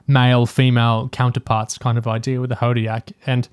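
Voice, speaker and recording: A man's voice: medium pitch, little pitch movement, moderate pace, clear, resonant tone, no echo. Dry-sounding man in casual discussion. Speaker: podcast host.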